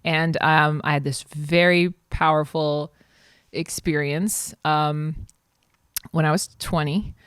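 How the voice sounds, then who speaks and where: A woman raised in Israel who speaks with an American accent, a ministry leader telling her story as a podcast guest in a casual, animated way with many fillers. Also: sits low, slow, smooth, no echo, clean studio sound. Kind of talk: casual interview conversation.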